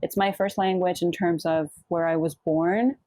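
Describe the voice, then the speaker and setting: A young woman from Puerto Rico speaks with a lowish, mellow voice in a relaxed interview. She thinks aloud and sometimes stops to look for a word. The sound is close and dry, with no room around it. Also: moderate pace, no echo. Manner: reflective, laughs often, searches for words. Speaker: author guest. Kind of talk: casual interview conversation.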